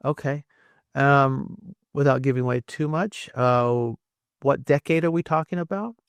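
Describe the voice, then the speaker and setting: Gravelly-voiced male podcast host, chatty. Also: mid-range voice, measured pace, no room sound. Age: 60s. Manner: rambling, friendly, many fillers and restarts. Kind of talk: casual conversational interview.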